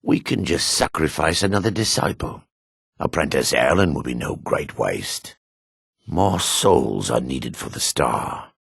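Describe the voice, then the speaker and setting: An actor playing a grim, weathered male dark elf warrior in a fantasy game, with a husky, measured, menacing delivery. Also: a bass voice, animated, no room sound, clean studio sound, full character voice. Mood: sinister. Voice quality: gravelly, husky. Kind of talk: fantasy game character lines.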